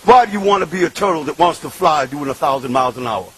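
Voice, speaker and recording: A man, preaching an impassioned sermon that swings from loud shouting to hushed murmurs. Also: on the high side, expressive, quick pace, slight background noise. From Bronx, New York.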